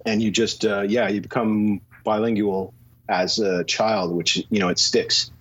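A man's voice: lowish voice, level tone, a little slow, a bit bright, breathy edge. A man from Canada, a podcast guest, chatting casually and self-deprecatingly, laughing at his own tangents. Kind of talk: casual chat. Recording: somewhat dull lo-fi sound.

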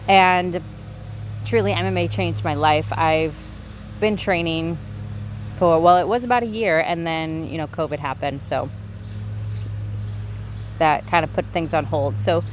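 Soft-spoken woman hosting a podcast. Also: fairly low pitch, slight background noise. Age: 20s. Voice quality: soft, quiet voice. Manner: chatty and candid, with light swearing. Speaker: podcast host. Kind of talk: casual solo podcast monologue.

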